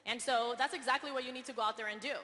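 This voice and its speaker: A woman speaks very fast and with insistent earnestness from a stage. Her voice is bright and a little high. The big hall adds a long reverb. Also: steady tone. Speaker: speaker at a business event. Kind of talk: motivational stage speech.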